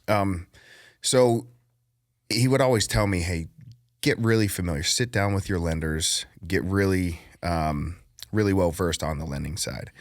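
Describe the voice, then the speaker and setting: A man with a low, deep voice tells his story at an easy pace and laughs often. The sound is dry and close, with no room behind it. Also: a little slow, dry recording. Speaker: podcast guest. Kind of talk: casual chat.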